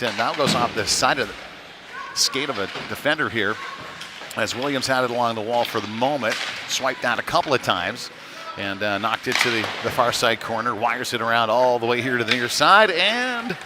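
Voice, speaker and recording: A man with a medium-pitched voice commentates live on a youth hockey game. His delivery is conversational and a little halting, with plenty of filled pauses. The clatter and ambient noise of an ice rink is clearly heard behind him. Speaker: play-by-play commentator. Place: chilly indoor ice rink.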